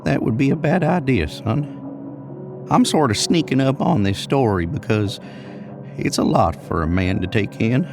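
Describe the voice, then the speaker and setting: A man's voice: medium pitch, lively intonation, moderate pace, over music, close to an everyday voice. A man voicing an older, rambling American cowboy in an audio horror story, with a folksy, drawling manner. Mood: patient, mysterious. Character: older rambling cowboy spinning a spooky trail tale.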